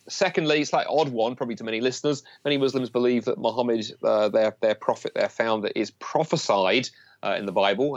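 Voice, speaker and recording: An English man being interviewed on the radio, explaining in a lively, good-humoured way. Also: fairly low pitch, no room sound, lo-fi sound. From England. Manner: animated, explanatory, light humour.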